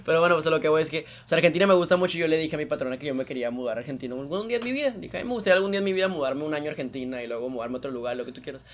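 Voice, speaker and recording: A young man who talks very fast in loose, slangy podcast chat. His voice sits a little higher than most men's, and he tells stories with animated energy. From Mexico. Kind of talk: casual chat.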